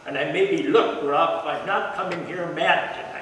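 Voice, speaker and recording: A man with a loud voice, a resident making public comment in a friendly, earnest way. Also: on the high side, moderate pace, a little hiss or hum. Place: city council chamber. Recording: echoey chamber mic from a distance.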